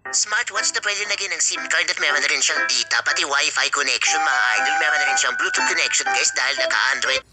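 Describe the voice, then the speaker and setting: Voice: medium pitch, fairly quick, hardly any pauses. A person's voice run through a trickster voice-changer filter, doing a short-video product voice-over with music behind it. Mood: lively sales pitch. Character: bubbly high-energy trickster cartoon voice filter for product plugs. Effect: CapCut trickster voice-changer filter.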